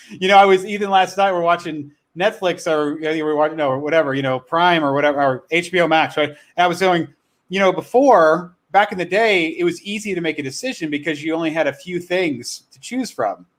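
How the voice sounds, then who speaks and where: A man's voice: fairly high pitch, fairly quick. A man hosting a casual livestream chat in a rambling, self-correcting way. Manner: rambling, self-correcting, frequent "you know" and "like". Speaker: host.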